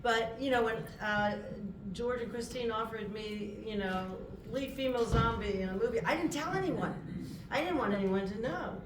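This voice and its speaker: A woman's voice: a little high, moderate pace. Chatty woman on an echoey convention panel. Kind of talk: panel talk, storytelling. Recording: echoey hall, distant mic, background noise.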